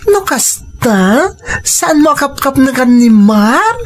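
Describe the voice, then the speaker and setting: A woman playing a chatty, candid co-worker and friend in an audio drama, expressive and exclaiming. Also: sits high, slow, faint music behind, exaggerated voice. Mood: teasing, incredulous. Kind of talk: Ilocano audio drama acting.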